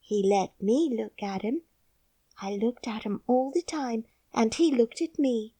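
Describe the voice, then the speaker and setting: A woman's voice: fairly high pitch, expressive, measured pace, dark tone, slightly rough, no room sound, voice pushed up. A woman voicing a plain-spoken young girl. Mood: earnest. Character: earnest, outspoken young girl.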